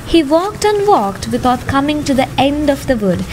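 A young woman narrates a radio play from a script. Her voice is high, and it sits over clearly audible background noise and ambient sound, as in an amateur production. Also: big pitch swings, normal speed. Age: young adult.

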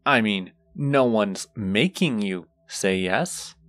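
Man playing a slow-drawling, flirty demon suitor. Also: on the low side, fairly bright tone. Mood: mock solemn, teasing. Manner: drawling, teasing, seductive. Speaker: voice actor. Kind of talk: intimate audio roleplay acting to the listener.

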